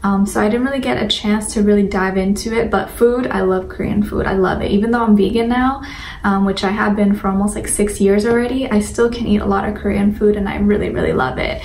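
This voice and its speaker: A young woman talks in a loose, informal vlog style with lots of filler words. Her pitch is average for a woman. The sound is echoey and a little distant, with faint noise behind her. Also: fairly quick, darkish tone. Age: young adult. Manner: informal, rambling, filler-heavy with frequent "like". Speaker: vlogger. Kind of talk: casual chat to viewers. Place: indoor room at home with a sunlit window. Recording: roomy echo, distant mic, faint noise.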